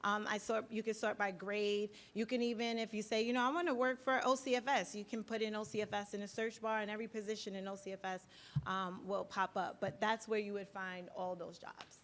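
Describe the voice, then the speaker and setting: A woman's voice: medium pitch, little pitch movement, fairly quick. A woman presenter at a workshop, guiding the audience step by step through a job website and often checking in with "right?".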